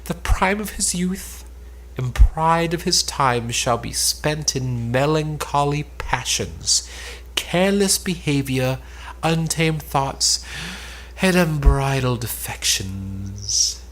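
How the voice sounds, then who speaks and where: A man playing a scheming, lovesick woman in an Elizabethan stage drama, declaiming with drawn-out anguish and spite. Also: medium pitch, expressive, fairly bright tone. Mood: bitter longing.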